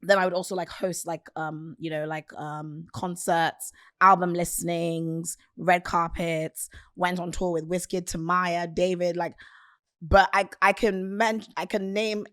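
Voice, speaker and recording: A woman from Nigeria with a nasal voice, a podcast guest telling her story in relaxed, casual chat full of "like" and "um". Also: fairly low pitch, measured pace, smooth, no echo, clean studio sound. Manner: relaxed, filler-heavy storytelling with frequent "like" and "um".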